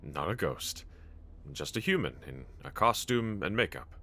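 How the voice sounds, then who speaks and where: A man performs a gallant young demon slayer with a deep, bright-edged voice. His delivery is earnest and formal yet tender, with expressive rises and falls as he comforts a companion in an anime audio roleplay. Background ambience is clearly audible. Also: a little slow, full character voice. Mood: gentle, reflective. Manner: gentle, reassuring, earnest, formal phrasing. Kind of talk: anime audio roleplay acting, speaking to the listener.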